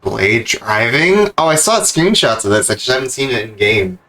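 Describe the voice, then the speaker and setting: A man's voice: mid-range voice, big pitch swings, normal speed, pushed and bright. A man livestreaming a video game, commenting and reading the story text aloud.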